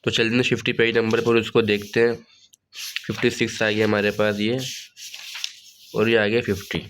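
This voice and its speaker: Hoarse low-voiced male tutor. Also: normal speed, slight background noise. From Pakistan. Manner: relaxed, repeats "theek hai" as filler. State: hoarse, sore throat. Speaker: online tutor. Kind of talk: casual study-guide walkthrough.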